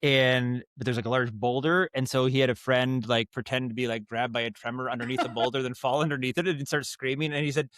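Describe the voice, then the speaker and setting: An American man speaking as a podcast guest, telling stories in a casual, rambling and animated way. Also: mid-range voice, fast talker, few pauses, no echo. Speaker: podcast guest, audio drama writer and producer. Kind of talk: casual interview storytelling.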